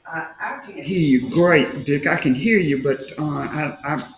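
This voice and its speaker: A woman's voice: low voice, a little slow. A woman judge presiding over a hearing, hesitant and checking whether the microphone works. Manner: hesitant, asks about the mic. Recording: echoey courtroom mic with faint noise.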